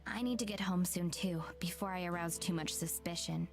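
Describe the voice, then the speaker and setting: A woman voicing a composed, quietly caring but guarded young woman in a visual novel. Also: on the low side, fairly quick, faint music behind, close to an everyday voice. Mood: calm, guarded.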